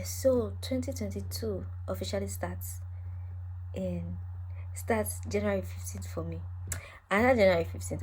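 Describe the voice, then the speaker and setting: A woman's voice: lowish voice, darkish tone, a little hiss or hum, low-quality recording. A young woman, rambling playfully to her camera in her room, teasing herself as she goes. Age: young adult. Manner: playful, rambling and self-mocking. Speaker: vlogger. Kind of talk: casual monologue to camera.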